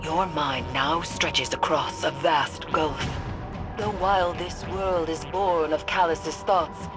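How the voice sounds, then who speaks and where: A woman playing a grave, cryptic mystic guide in a game cutscene, speaking in a solemn, ominous and measured way. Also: low voice, steady tone, over music. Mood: urgent, ominous. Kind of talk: dramatic game cutscene acting.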